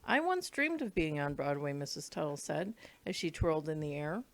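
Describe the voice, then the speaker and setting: A woman's voice: low-pitched, sing-song, normal speed. A woman reading a children's book aloud, now and then stumbling over words and rereading them. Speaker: read-along reader.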